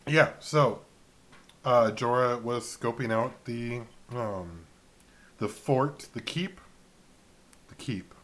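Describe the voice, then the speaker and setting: A man's voice: medium pitch, slow pace, pauses often, a bit bright. A man casually recapping a tabletop game as a co-host, in an informal, matter-of-fact way. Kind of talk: casual chat and recap narration.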